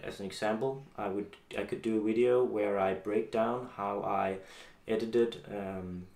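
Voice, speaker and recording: A seventeen-year-old man from Denmark with a Danish accent, talking casually to the camera in a halting, hesitant way. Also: fairly low pitch, steady tone, a little mellow. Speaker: YouTube vlogger introducing a channel. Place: small home office room, indoors. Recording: echoey room with faint background noise.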